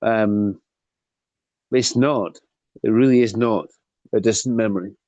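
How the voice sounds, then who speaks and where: Hesitant Scottish Highland man, slow. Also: steady tone, dark tone, no room sound, muffled recording. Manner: hesitant, many fillers and restarts. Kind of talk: casual discussion.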